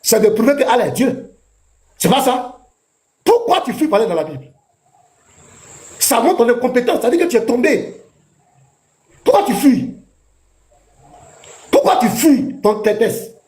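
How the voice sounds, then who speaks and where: A man's voice: very high-pitched, big pitch swings, moderate pace, pauses often, darkish tone. A man, a pastor in a heated live debate, taunting his opponent with combative, repeated jabs. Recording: echoey room.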